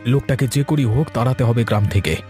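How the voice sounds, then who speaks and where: A man playing a suspicious but loyal village orchard keeper in a cartoon story, husky and earnest. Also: mid-range voice, fast, warm tone, soft background music, lightly acted.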